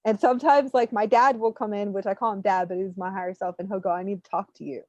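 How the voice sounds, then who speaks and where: A woman giving an unscripted spiritual reading, talking in an animated, informal, rambling way as she coaches. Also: medium pitch, quick pace. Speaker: spiritual reader hosting a live readings session. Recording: muffled, roomy, lo-fi online stream.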